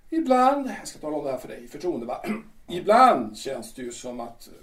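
A man's voice: a little high, very animated, echoey room, far from the mic. A man acting a vain, brash male musical star in an audio drama, who stammers and keeps asking "va?". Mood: weary. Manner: boastful, stammering, ends lines with "va?". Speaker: musical star Peter in his dressing room.

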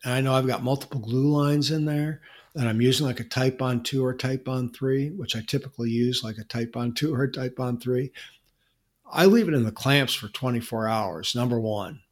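Guy co-hosting a podcast, chatty, explains stuff with restarts, normal pitch. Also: brisk. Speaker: podcast co-host answering listener questions. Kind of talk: casual podcast chat.

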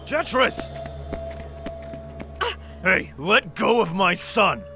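A man's voice: high-pitched, expressive, drawn-out words, creaky, exaggerated voice. A man acting a worried, protective father who narrates his own story in a sci-fi audio drama. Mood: alarmed. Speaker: narrator and lead actor. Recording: loud music under the voice. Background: dramatic music.

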